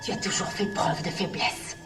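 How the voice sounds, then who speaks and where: A woman's voice: normal speed, distant mic, over music, natural voice. A woman dubbing a cold, vengeful sci-fi villain, accusing and menacing. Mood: scornful, vengeful. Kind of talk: dubbed film acting.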